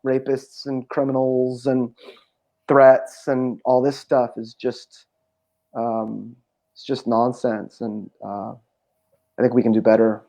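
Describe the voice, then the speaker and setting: A man, a poet being interviewed, speaking thoughtfully over a video call with frequent pauses and filler sounds. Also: mid-range voice, level tone, vocal fry, dark tone. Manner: thoughtful, hesitant with many pauses. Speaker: poet, guest. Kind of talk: casual conversation. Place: home room.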